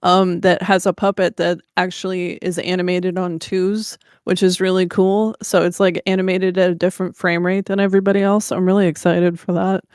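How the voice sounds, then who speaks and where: Female podcast co-host, clear voice, fairly flat intonation, dry close sound, casual gamer chat. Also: lowish voice, moderate pace, hardly any pauses, no room sound.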